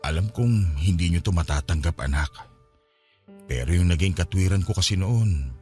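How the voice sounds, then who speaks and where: A man's voice: deep voice, animated, normal speed. An actor playing a remorseful father of grown children in a radio drama, hesitant and apologetic as he pleads. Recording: dramatic music behind the voice. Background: music.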